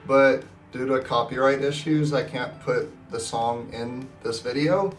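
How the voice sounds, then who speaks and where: Young man in his twenties, sick, nasal and scratchy, upbeat and rambling, roomy echo, set back from the mic. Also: mid-range voice. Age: 20s. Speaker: personal finance vlogger. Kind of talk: casual talking-to-camera vlog. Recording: roomy echo, distant mic, faint guitar music.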